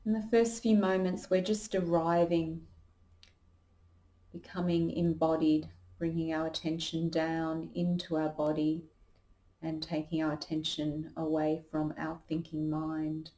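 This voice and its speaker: Woman doing a guided meditation, really slow and calm, voice on the low side. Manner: calm, unhurried, gently instructing. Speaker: yoga meditation teacher.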